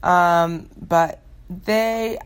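Upbeat young American woman, slightly low voice. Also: extremely slow, clean tone. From United States. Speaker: host of a Q&A show. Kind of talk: casual chat to listeners.

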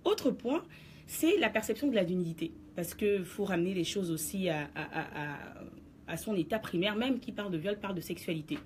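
A woman's voice: medium pitch, animated, normal speed, a little hiss or hum. A woman giving her radio column, explaining her position to listeners in an assertive, lecturing way. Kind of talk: radio commentary segment. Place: radio studio.

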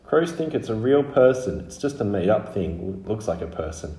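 A man's voice: on the low side, big pitch swings, moderate pace, warm tone, reverberant. A man voicing a candid, stubborn little girl in a storybook, matter-of-fact and childlike. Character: small young girl, candid, stubborn, easily irritated.

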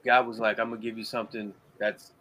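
A young man as a guest, chatting casually and acting out the lines he quotes in lively voices. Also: lowish voice, quick pace, a little hiss or hum, poor audio. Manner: animated storyteller, acts out quotes. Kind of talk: casual interview talk. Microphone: video call.

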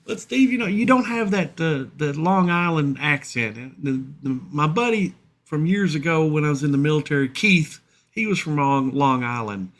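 Easygoing Kentucky man, bright tone. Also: fairly high pitch, expressive, moderate pace. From South Central Kentucky. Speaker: co-host. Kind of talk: casual podcast chat with live chat.

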